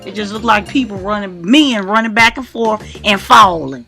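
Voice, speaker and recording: A woman's voice: mid-range voice, expressive, moderate pace, sharp tone, faint music behind. A woman hosting a casual radio interview, talking in an effusive, encouraging way. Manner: effusive, encouraging, echoes the guest. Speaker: radio show host.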